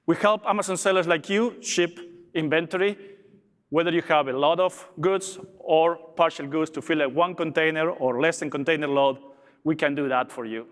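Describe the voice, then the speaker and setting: A man's voice: on the high side, fairly even intonation, normal speed. A man from Argentina with an Argentine Spanish accent, giving an upbeat conference presentation as a logistics director. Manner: upbeat, with small restarts. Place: large conference hall. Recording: long reverb of a big hall.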